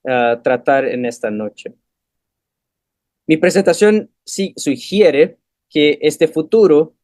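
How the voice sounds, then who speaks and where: A man's voice: on the high side, darkish tone, dry recording, studio quality. Portuguese-accented man reading a lecture. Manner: measured, questioning delivery in Spanish mixed with Portuguese. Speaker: guest lecturer. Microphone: video call.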